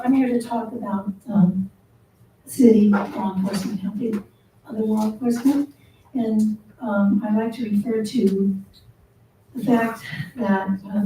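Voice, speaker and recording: A woman with a small, soft voice giving public comment at a council meeting, earnest and measured with frequent ums. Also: medium pitch, a little hiss or hum. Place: city council chamber. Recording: echoey council chamber, distant mic.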